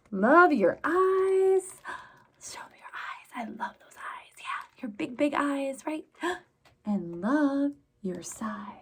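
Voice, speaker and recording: High-pitched woman leading children's story time. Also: lively intonation, drawn-out words, a bit bright. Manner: sing-song, encouraging, exaggerated child-directed delivery with gasps. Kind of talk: children's story time, reading a picture book aloud and coaxing young listeners. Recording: clear background noise.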